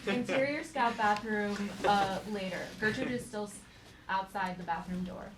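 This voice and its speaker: A woman of average pitch reads out stage directions in a scripted narration style. The sound is a roomy live stage with faint noise in the background. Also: normal speed. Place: theater stage, live show.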